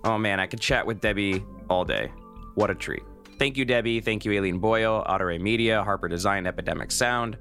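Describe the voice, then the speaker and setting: A man's voice: lowish voice, brisk, fairly bright tone, soft background music. A man talking conversationally as the host of a podcast.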